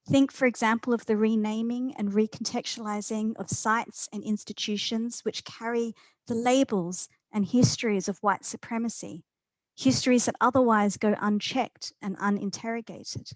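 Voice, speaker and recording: A woman's voice: fairly high pitch, no echo, low-quality recording. Composed woman reading an academic talk. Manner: composed academic delivery, politely handing over to the panel. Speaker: seminar host and moderator.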